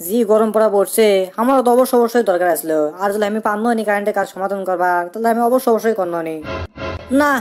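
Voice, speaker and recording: High-pitched grumbling village headman played by a man, Bogura accent. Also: quick pace, soft background music, lightly acted. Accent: Bogura, northern Bangladesh. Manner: rustic, complaining, wisecracking. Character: wily, grumbling young village headman, comic nephew. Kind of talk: comic cartoon dialogue and monologue.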